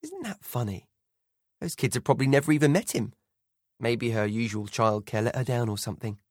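A man voicing a chatty middle-aged mother who keeps asking her son probing questions in a raised, bright voice. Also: medium pitch, sing-song, brisk, no echo, clean studio sound.

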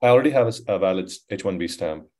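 A man calling into a conference call, asking questions in a halting way and rephrasing as he goes. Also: sits low, expressive, dark tone. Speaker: caller.

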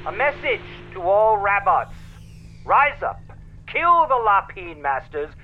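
A man's voice: sits high, big pitch swings, slow pace, full character voice. A man playing a militant, commanding robot broadcasting a call to revolt through a radio filter in a radio play. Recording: noisy lo-fi radio transmission.

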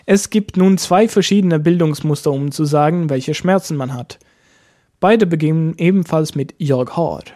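A man teaching a language lesson, explaining each point slowly step by step. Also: a little high, mellow. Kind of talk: lesson narration.

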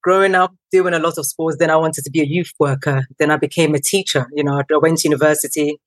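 A woman in her thirties, born in Ghana, recounts her career as a podcast guest. She talks in lively bursts with plenty of ums and acts out the people she quotes. Her voice sounds dry, with no room echo. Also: deep voice, fast. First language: not English. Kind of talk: conversational interview.

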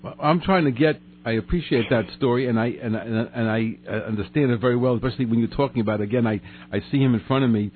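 A man hosting a radio interview, asking quick, prodding questions and cutting in. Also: medium pitch, slight background noise. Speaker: radio host. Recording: tinny phone-like radio line.

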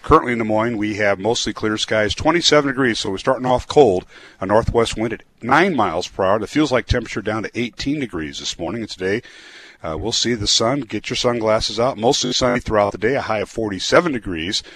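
A man with a gravelly, hoarse voice from a cold, chatting casually on the radio and reading the weather. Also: on the low side, fairly quick, a bit bright. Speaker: news and weather reader.

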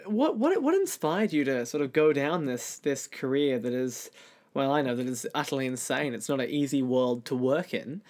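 A man with a medium-pitched voice hosts an interview podcast. He speaks conversationally, easing into his questions in a casual way. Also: expressive, normal speed, darkish tone.

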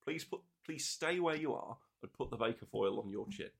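A man's voice: medium pitch, expressive, normal speed, vocal fry, husky. A man co-hosting a casual podcast at home, playful and rambling, sitting back from a shared mic in a small room with a hard bare wall. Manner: playful, rambling, self-mocking. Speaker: podcast host. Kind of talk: casual banter. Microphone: shared tabletop microphone, sitting a bit far from it.

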